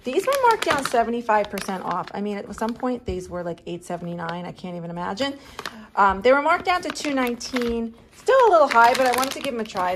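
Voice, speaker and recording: A woman chatting casually through a grocery haul, steadily listing items and what each one cost. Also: on the high side. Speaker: vlogger.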